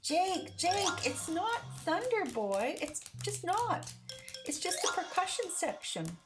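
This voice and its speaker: A caring, excitable man from a children's storybook, voiced by a woman reader. He exclaims readily and talks affectionately, with very animated pitch. Music plays clearly in the background. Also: slow, full character voice. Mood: urgent, pleading. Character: caring, excitable male stagehand who dotes on dog.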